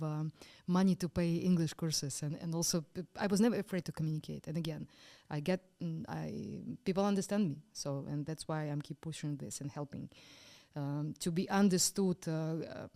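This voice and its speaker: A woman's voice: sits low, mellow. A woman from Ukraine with a Ukrainian accent, hosting a podcast in English and talking hesitantly, with fillers and restarts. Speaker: podcast host. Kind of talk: podcast interview.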